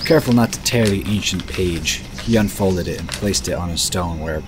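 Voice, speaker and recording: A man with a deep, low voice narrates a scripted fantasy audio drama. He tells the story in the third person, with birds and crickets clearly audible behind his voice. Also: normal speed. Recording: background noise of birds and crickets.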